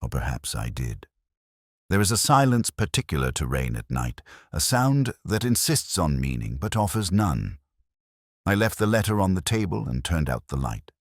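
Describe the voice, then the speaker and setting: A man reading scripted first-person mystery narration in a measured, literary, solemn manner. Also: rumbling low, big pitch swings, no room sound, clean studio sound.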